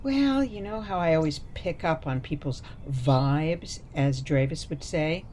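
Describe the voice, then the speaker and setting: A woman's voice: low-pitched, a little slow, darkish tone, slight background noise. A woman playing a sweet, chatty, meddling elderly mother in a radio play, coaxing and cheerfully oblivious. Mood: chatty, confiding. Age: older.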